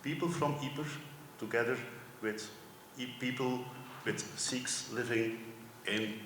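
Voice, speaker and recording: A man from Flanders with a Flemish accent gives a semi-formal public speech as a guest, pausing often with ums. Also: mid-range voice. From Flanders, Belgium. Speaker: museum director, guest speaker. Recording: echoey hall with faint background noise.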